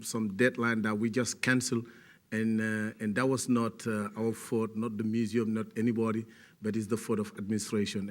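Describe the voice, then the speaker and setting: Man, CEO, impromptu remarks, many fillers. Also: fairly low pitch. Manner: non-native phrasing, searching for words with many fillers. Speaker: CEO of a nonprofit.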